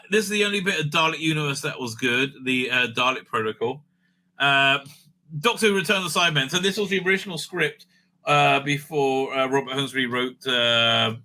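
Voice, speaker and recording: A man's voice: medium pitch, bright, forceful tone. A man giving a casual, rambling fan review as host, blunt, opinionated and sweary, often repeating phrases for emphasis.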